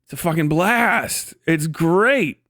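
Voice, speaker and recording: A man hosting a livestream, giving casual, profanity-laden political commentary in a rambling, animated manner. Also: a little high, slow, dry recording. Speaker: livestream host.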